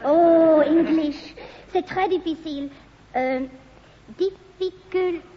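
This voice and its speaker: An actress playing an innocent young French au pair in a British sitcom, confused but polite, speaking halting broken English with a French accent. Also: female voice, very high voice. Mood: confused, apologetic. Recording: muffled old sitcom soundtrack, reverberant, hissy.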